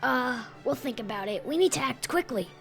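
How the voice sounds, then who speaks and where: A woman's voice: high-pitched, animated, fairly quick, gravelly, faint music behind. A woman acting an earnest young boy fox sidekick in a fan audio drama. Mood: urgent.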